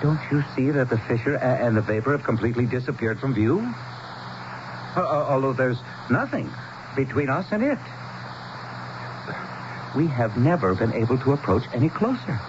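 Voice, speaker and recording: An actor playing an earnest, anxious, educated town leader, speaking formally and haltingly as he explains, in a radio drama. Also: mid-range voice, expressive, natural voice. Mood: urgent, amazed. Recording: muffled, noisy 1970s radio broadcast.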